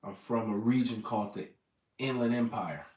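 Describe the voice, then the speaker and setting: A man's voice: fairly low pitch, moderate pace, a little mellow, reverberant, distant mic. An American man from Southern California in his thirties, rambling casually to his viewers and correcting himself as he goes. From Southern California, USA. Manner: meandering, corrects himself often. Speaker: vlogger.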